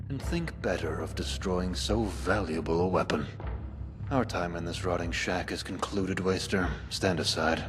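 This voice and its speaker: A low, imperious voice, acted by a man for a cold zealot keeper in a video game. He speaks in pompous, measured sentences full of menace. Long reverb surrounds him and clear music plays behind. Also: lightly acted. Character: cold, domineering male keeper, a zealot slaver. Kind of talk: dramatic video game dialogue.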